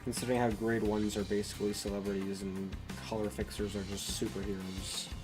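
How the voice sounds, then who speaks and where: A man's voice: lowish voice, flat delivery, brisk, loud music behind the voice. Stammering young man streaming a game. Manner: stammering, restarts, frequent laughter, translating game lines. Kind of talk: casual live-stream commentary.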